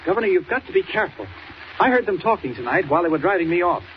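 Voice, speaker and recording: An actor playing an earnest, eager young newspaper reporter in a 1940s radio drama. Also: male voice, sits high, very animated, very fast. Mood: urgent, worried. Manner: earnest, urgent, breezy. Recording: noisy, muffled 1940s radio recording.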